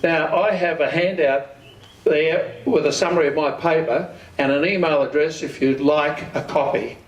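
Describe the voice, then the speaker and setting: An Australian man with a slightly high-pitched voice gives an informal club presentation, recorded from a distance in a very echoey room. He is plain-spoken and often poses questions that he then answers himself. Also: moderate pace, lo-fi sound. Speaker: amateur radio club speaker. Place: community hall.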